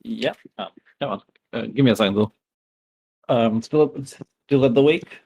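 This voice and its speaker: A man's voice: mid-range voice, measured pace, darkish tone, slightly rough, rough recording. A man casually improvising narration as a tabletop game player, hesitant and thinking aloud.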